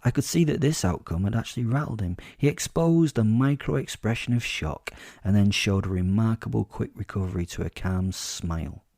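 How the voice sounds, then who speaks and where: A man's voice: on the low side, animated, normal speed, few pauses, darkish tone, no room sound, studio quality. A man playing a sly, sadistic, self-assured captive werewolf who tells his story in a confiding, gloating way for a horror comedy audio drama.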